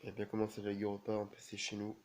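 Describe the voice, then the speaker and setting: A man with a cold commentating his own video game match in a casual, halting way, full of fillers. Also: fairly low pitch, completely flat delivery, a little mellow. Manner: halting, many fillers and restarts. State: has a cold, sneezing. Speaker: gaming video creator.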